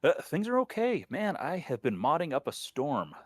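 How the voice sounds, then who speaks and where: A man's voice: a little high, huge pitch swings, normal speed, dry recording, soft background music. Host, aging man, easygoing shop-talk, dry close mic. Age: older. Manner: friendly, wry, talks shop about hobby builds. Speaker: podcast host. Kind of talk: casual podcast chat.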